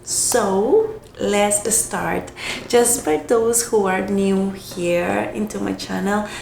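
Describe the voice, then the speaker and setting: Young woman from Costa Rica, native Spanish, hoarse and raspy from a cold, unhurried to-camera vlog chat in an echoey room with faint hiss. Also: medium pitch, darkish tone. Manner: hesitant, self-correcting, unhurried. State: hoarse from illness. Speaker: vlogger.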